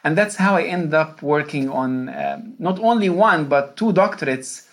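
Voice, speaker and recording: A man from Egypt, a professor, answering interview questions in a measured way, with many fillers and restarts. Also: on the high side, animated. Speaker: guest, professor of Islamic studies.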